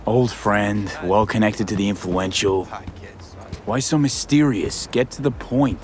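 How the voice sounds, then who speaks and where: An actor playing a blunt, skeptical, streetwise mercenary in a video game, talking in terse, clipped, slangy phrases. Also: male voice, lowish voice, measured pace, natural voice. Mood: skeptical, impatient. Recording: noisy street ambience behind.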